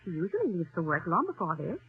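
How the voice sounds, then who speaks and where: A woman's voice: fairly low pitch, wildly swooping pitch, quick pace. An actress playing a kindly, fussing older landlady, folksy and solicitous, in 1940s radio drama. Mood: chatty, pleased. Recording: tinny vintage radio recording with music.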